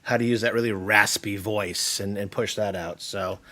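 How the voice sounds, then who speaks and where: A man's voice: lowish voice, fairly even intonation, moderate pace, pushed and bright. Easygoing male stream host. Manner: easygoing, joking, runs giveaways. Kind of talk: casual chat.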